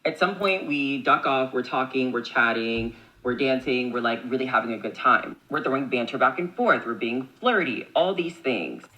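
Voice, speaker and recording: A man's voice: mid-range voice, expressive, moderate pace, few pauses, pushed and bright, low-quality recording. A man chatting candidly to camera, telling a dating story in a casual way. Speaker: clip speaker.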